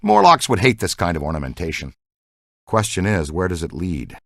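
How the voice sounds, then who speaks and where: An actor playing an excitable, geeky male scientist who investigates the paranormal in a video game, earnest and full of technical jargon. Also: sits low, normal speed, gravelly, no room sound, studio quality, heavily acted. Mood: calm, serious. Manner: earnest, technical jargon, swings into boyish enthusiasm. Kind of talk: scripted game dialogue.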